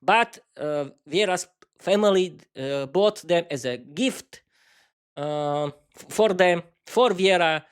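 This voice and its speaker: Man, slovak accent, non-native english, unscripted solo podcast, halting, many restarts, fairly high voice. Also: lively intonation, clear, resonant tone. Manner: halting, frequent restarts and fillers. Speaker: podcast host.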